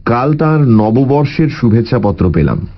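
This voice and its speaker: An actor playing a polite, probing private detective in an audio story, with courteous, measured questions. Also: male voice, mid-range voice, very animated, muffled recording, close to an everyday voice. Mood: calm, inquiring.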